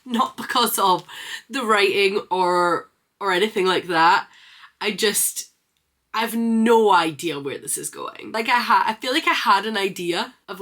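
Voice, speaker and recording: A woman's voice: medium pitch, a bit bright. A woman giving casual, enthusiastic read-along reactions to a book, speaking informally and expressively. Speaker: book reviewer and vlogger.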